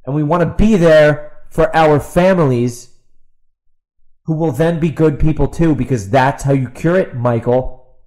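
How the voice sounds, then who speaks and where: A man's voice: on the high side, a little slow, darkish tone, rough recording. Exasperated man ranting solo. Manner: exasperated, sarcastic, emphatic repetition; rhetorical questions put to an absent opponent. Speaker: podcast host and author. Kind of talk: ranting opinion monologue, off the cuff.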